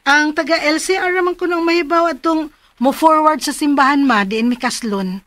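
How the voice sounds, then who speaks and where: An actress playing a diligent, excitable young bride with a Cebuano accent in a radio drama. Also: female voice, sits high, brisk, no echo. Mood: reassuring. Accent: Filipino, Cebuano. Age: young adult.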